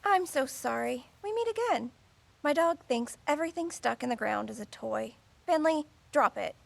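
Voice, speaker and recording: A breezy female dog owner in an audio drama. Her high voice swings animatedly and has some creak, with a chatty, exasperated manner. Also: lively intonation, normal speed, no room sound, exaggerated voice.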